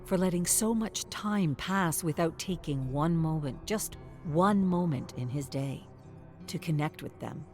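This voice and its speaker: A woman's voice: sits low, lively intonation, unhurried, darkish tone, soft background music. A woman reading scripted story narration as a narrator.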